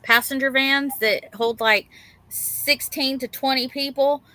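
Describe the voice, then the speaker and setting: Bright-voiced woman chatting on stream. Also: sits high, fairly even intonation, unhurried. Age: middle-aged. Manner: chatty, folksy, reading out and greeting chat. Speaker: livestream co-host. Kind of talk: casual livestream chat.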